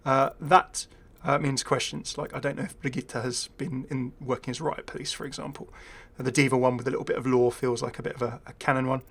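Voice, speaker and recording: A chatty male gaming host with a medium-pitched voice talks casually off the cuff. He thinks aloud, hesitating as he goes, and slips in dry, wry asides. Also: little pitch movement, brisk, few pauses, a bit bright. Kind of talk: casual unscripted video game commentary.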